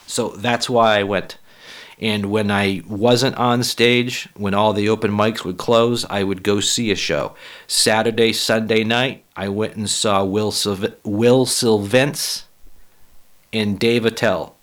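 Slightly hoarse, rambling man podcasting. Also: lowish voice, steady tone, slow pace, a bit bright. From Boston area. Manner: profane, rambling, self-deprecating. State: a little hoarse. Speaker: podcast host and stand-up comic. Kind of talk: casual solo podcast monologue.

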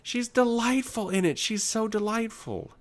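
A man's voice: high voice, lively intonation, measured pace. A man co-hosting a podcast, chatting casually and bluntly in slangy, laid-back talk about what he has been watching. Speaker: podcast co-host. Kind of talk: casual chat reviewing shows and films.

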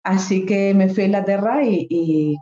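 Andalusian woman in her thirties, mellow and echoey. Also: mid-range voice, moderate pace, highs cut off. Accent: Andalusian Spanish. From Spain. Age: 30s. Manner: reflective, frequent tag questions. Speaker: guest psychologist and coach. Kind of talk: casual interview talk.

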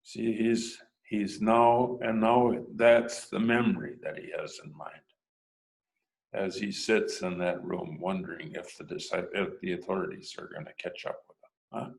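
Male preacher, unhurried and thoughtful, low voice with heavy vocal fry. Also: rough recording. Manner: thoughtful, prompts listeners with "hmm?" and "huh?". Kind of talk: reflective sermon lecture.

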